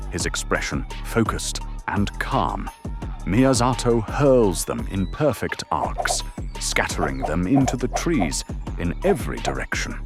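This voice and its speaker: Deep, animated male drama narrator. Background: music.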